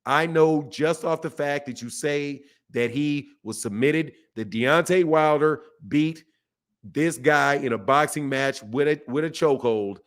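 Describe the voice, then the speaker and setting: Hoarse man hosting a boxing livestream. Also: fairly high pitch, a little slow. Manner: emphatic, repeats himself, calls listeners bro and man. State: hoarse, voice shot from yelling. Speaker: boxing channel host. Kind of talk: casual livestream commentary reading chat.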